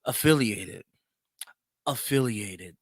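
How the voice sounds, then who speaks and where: A man's voice: lowish voice, animated, slow pace, no room sound. A man hosting a solo opinion show, speaking bluntly and profanely with mocking, emphatic repetition. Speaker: podcast host.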